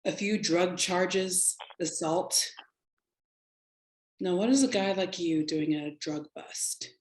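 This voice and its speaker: A woman's voice: lowish voice, takes it slowly, a little mellow, a little hiss or hum. A woman playing a stern, accusing police officer in an audio drama, questioning in a confrontational manner. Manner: interrogating, confrontational. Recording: echoey room sound.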